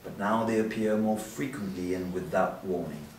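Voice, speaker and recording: A man's voice: low-pitched, moderate pace, darkish tone, lightly acted. A man reading a reserved asylum doctor. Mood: explaining. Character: reserved male doctor who mixes his own drugs. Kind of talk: table read. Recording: roomy echo, distant mic, faint hiss.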